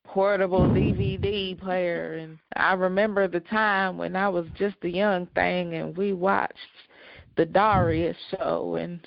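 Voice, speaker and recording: A woman's voice: fairly low pitch, steady tone, a little slow, gravelly, highs cut off, noisy background. A woman co-hosting a podcast in casual chat, with a dry way of talking. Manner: dry delivery. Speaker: podcast co-host.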